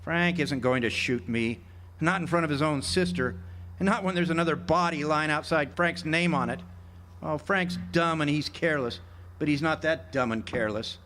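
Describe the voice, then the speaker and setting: An actor playing a world-weary, sardonic ex-jailbird drifter in a radio drama, wry and laconic. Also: male voice, fairly high pitch, moderate pace, background noise, close to an everyday voice. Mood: taunting. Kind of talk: radio drama acting with first-person narration. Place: live amphitheater stage performance. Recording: live stage recording with music.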